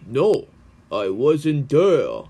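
A performer voicing a gruff, hot-tempered male cartoon echidna warrior in an amateur comic dub. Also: medium pitch, sing-song, very slow, mellow, pitched below the performer's own voice, full character voice. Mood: defensive.

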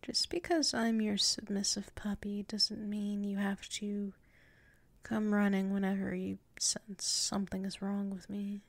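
A woman's voice: mid-range voice, flat delivery, measured pace, gravelly, dry recording. A woman playing a submissive yet proud tsundere werewolf in an intimate ASMR roleplay, murmuring hesitantly and often slipping into whispers. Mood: hesitant, puzzled. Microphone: close mic.

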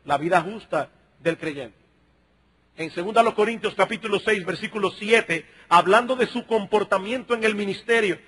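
High-pitched, husky preaching man. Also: fairly bright tone. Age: middle-aged. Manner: emphatic, rhetorical questions, direct address to listeners. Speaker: pastor. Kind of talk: sermon, expository preaching to a congregation.

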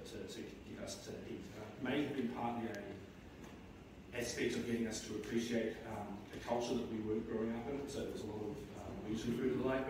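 A middle-aged man giving a eulogy for his mother in a large church, speaking in a halting way with many restarts. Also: medium pitch, noisy background. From New Zealand. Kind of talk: eulogy at a memorial service. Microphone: far camera in the pews. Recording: echoey church from far off.

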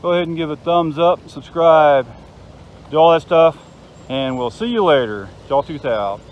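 A man's voice: a little high, lively intonation, moderate pace, dull audio. A man in his fifties giving an enthusiastic, chatty video tour outdoors, talking into a handheld phone camera. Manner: chatty, enthusiastic, points things out. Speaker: vlogger. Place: outdoors by a river.

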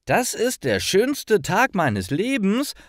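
A man voicing a stressed, angry bridegroom in a storybook, loud, exasperated and dramatic. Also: high-pitched, huge pitch swings, slow pace, no echo, clean studio sound, pitched above the performer's own voice, full character voice.

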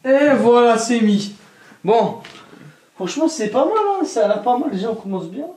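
A young man vlogging casually at home, excitable and chatty, talking straight to his viewers and laughing often. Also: very high-pitched, moderate pace. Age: young adult. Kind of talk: casual vlog to camera. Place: indoor bedroom at home. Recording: roomy echo, voice from off-mic.

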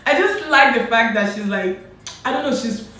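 Animated woman vlogger, laughing a lot, roomy echo, bit of hiss in the back. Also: on the high side, moderate pace. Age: late 20s. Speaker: beauty vlogger host. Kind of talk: casual chat. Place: bright home room, indoors. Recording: echoey room with faint noise.

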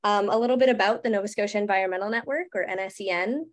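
A woman's voice: medium pitch, rapid-fire. A young woman in her twenties hosts an online webinar, speaking in an ordinary mid-range voice. She reads her welcome from notes in an upbeat way, starting lines with "um." She is on a video call from a room at home. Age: 20s. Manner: upbeat, reading from notes with "um" openers. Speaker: webinar host. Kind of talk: scripted introduction.

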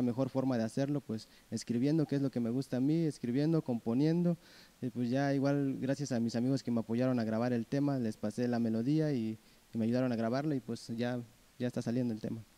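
Mellow-voiced young singer. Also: male voice, medium pitch. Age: 20s. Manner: relaxed, hesitant with fillers. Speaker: singer-songwriter guest. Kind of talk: casual interview answers. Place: small indoor room. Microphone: handheld mic.

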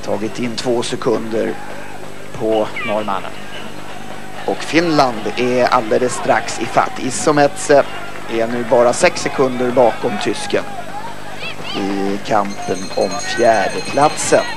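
A man's voice: medium pitch, music in the background. Swedish man calling a ski relay. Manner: measured, rising to excited calls at the finish. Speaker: sports commentator. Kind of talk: live ski race commentary.

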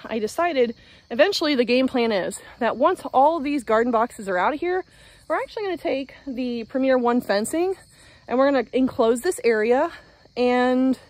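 A woman's voice: a little high, no room sound. A young woman chatting casually to her phone camera outdoors in a backyard garden, upbeat while she works. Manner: chatty and upbeat, talking while doing yard work. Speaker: homestead vlogger. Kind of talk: casual vlog talk to a handheld camera. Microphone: handheld phone at arm's length.

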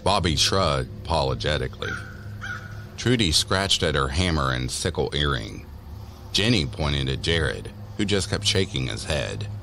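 A man's voice: rumbling low, a bit bright. A man narrating a scripted horror story as the storyteller of an audio drama.

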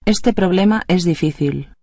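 A mid-pitched voice reads short phrases as lesson prompts. The delivery stays even and neutral from line to line. The sound is dry and close. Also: unhurried, no room sound. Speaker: Spanish phrase reader. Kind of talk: read-aloud language drill.

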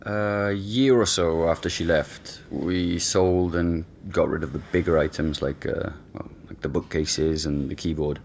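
A hesitant, reflective young man with a very deep voice. He muses with pauses and fillers, a faint hiss of noise beneath. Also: fairly even intonation. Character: reflective young man, missing woman's ex-flatmate. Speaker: former flatmate. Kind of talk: conversational audio drama acting.

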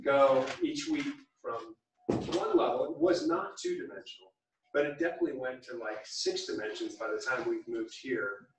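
A man, a stage actor, reflecting informally on a production, halting and then emphatic. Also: medium pitch. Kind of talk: informal post-performance reflection. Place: small theatre stage, distant camera. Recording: very echoey theatre, far from mic.

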